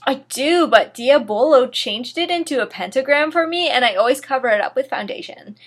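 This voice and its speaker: A woman voicing an anguished, melodramatic teenage boy in a story reading, loud and overwrought. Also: high voice, animated, brisk. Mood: agitated. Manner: shouting, exclaiming, overwrought.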